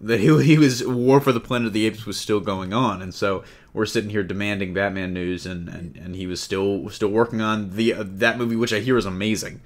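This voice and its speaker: A man's voice: lowish voice, steady tone, a little fast. A man hosting a podcast in a casual, chatty style, bantering and joking with his co-hosts. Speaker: podcast host. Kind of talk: casual podcast chat about comic-book film news.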